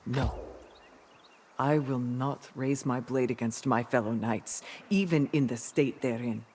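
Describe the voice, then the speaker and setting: A man playing a solemn, honourable knight in a video game, speaking gravely and deliberately. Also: mid-range voice, steady tone, a little mellow, a little hiss or hum, natural voice. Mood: solemn, resolute. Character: solemn honourable knight, weary but resolute. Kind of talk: game character dialogue.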